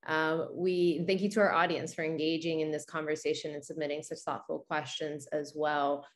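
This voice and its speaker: A woman's voice: low voice, little pitch movement, moderate pace. A middle-aged woman hosting a formal online event as moderator, speaking over a video call. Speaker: host, moderator. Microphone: video call computer mic.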